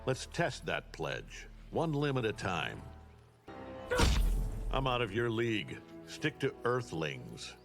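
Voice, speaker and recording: A cruel, cold game villain in a low voice. He is contemptuous and menacing, with swooping emphasis, and music plays behind him. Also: wildly swooping pitch, takes it slowly, gravelly, long pauses, full character voice. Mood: cold menace. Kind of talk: video game fight banter.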